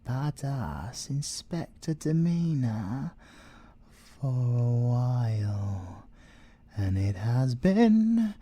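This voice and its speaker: A man's voice: medium pitch, mellow, husky. A British man playing a playful, possessive, seductive siren villain in a theatrical ASMR audio roleplay. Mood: obsessive, seductive. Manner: teasing, theatrical, drawn-out delivery with drops into whispering.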